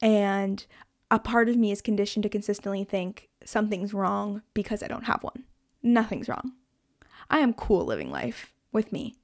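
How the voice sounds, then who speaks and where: A woman of twenty hosting a solo podcast, talking in a chatty, animated way with lots of fillers and self-corrections. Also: mid-range voice, normal speed. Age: 20s. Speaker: podcast host.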